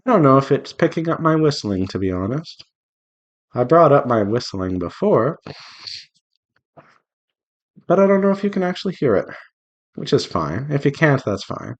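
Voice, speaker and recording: A man's voice: medium pitch, sing-song, normal speed, pauses often, muffled recording. A man chatting casually and playfully to himself and his viewers while he draws, rambling along as an indie game developer on a devlog. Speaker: visual novel developer streaming while drawing.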